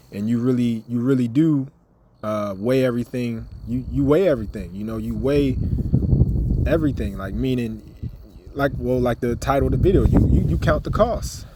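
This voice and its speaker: A man with a slightly raspy voice giving a casual religious teaching, rambling and repeating himself, then reading scripture aloud and growing more emphatic. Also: mid-range voice. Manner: rambling, repeats words, many fillers; grows louder and more emphatic as he goes. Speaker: ministry member giving a teaching video. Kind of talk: informal sermon with scripture reading.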